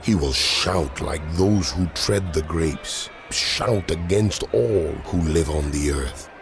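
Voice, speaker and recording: God as an angry, commanding judge in a scripture drama. The voice is very low, solemn and deliberate. Music plays clearly behind every pronouncement. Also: male voice, heavily acted. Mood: grave command. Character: wrathful, commanding God of Israel pronouncing judgment.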